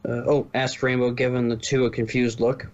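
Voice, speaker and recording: A man's voice: mid-range voice, level tone, brisk, a little mellow, dull audio. A man reading a story aloud as narrator, now and then stumbling and correcting himself. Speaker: narrator, fan fiction reader.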